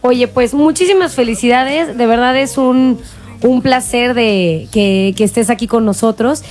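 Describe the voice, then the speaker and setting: A woman's voice: on the high side, a little slow, darkish tone, faint music behind. A woman hosting a casual interview, asking questions in a friendly way. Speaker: host.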